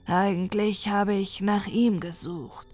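Audiobook creature: a contemptuous otherworldly male being, whispered slow and airy, put on by a woman reader. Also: medium pitch, fairly even intonation, a little mellow, dry recording, faint music behind. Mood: scornful whisper.

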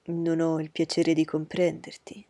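Brief: woman playing an unflappable ironic dad, lowered husky voice. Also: monotone, dark tone, no room sound. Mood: wry. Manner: lowered, measured, wry. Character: calm dry ironic older father.

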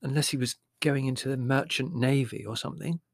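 A man voicing a gentle, vague middle-aged mother in an audiobook, hesitant and placating. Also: mid-range voice, a little fast, no room sound, clean studio sound, lightly acted. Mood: doubtful. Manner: hesitant, placating, mildly doubtful. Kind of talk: audiobook character voice.

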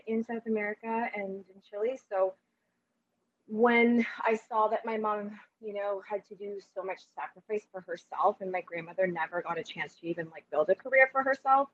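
A woman's voice: mid-range voice, muffled recording. A woman who grew up in Canada and first spoke Spanish, talking earnestly and reflectively in a casual group chat. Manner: earnest, reflective, with pauses and fillers. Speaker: panel speaker.

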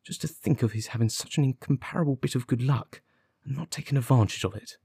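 A man voicing a confident, impatient gentleman detective, articulate and sardonic, in an audiobook. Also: fairly low pitch, moderate pace, vocal fry, no room sound, studio quality. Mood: scornful. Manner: articulate, commanding, witty. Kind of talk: audiobook character dialogue.

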